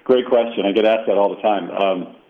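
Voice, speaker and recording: A man's voice: lowish voice, steady tone, fairly quick. A middle-aged man, an author who grew up speaking Italian, talking reflectively in a radio interview with many fillers and restarts. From Colorado, United States. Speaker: author guest. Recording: tinny phone line.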